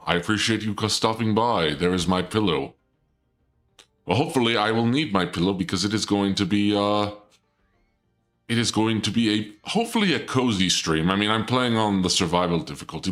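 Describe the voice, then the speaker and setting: A sniffly man with a low voice chats away to his viewers while streaming a game from home, tripping over his words as he goes. He hams up a mock dark-lord persona, and soft music plays faintly behind him. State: sniffly from a cold. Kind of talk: casual live-stream chat.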